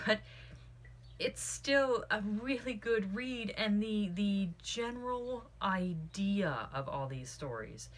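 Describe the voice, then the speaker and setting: A woman giving a casual spoken book review in an earnest, opinionated way, drifting into tangents. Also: medium pitch. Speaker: book club host reviewing a book. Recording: clearly audible background noise.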